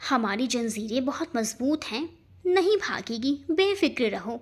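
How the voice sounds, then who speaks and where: Woman narrator as storybook villain man, high-pitched, smug, confident, teasing, menacing. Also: big pitch swings, unhurried, full character voice. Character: smug, menacing man boasting of his captive.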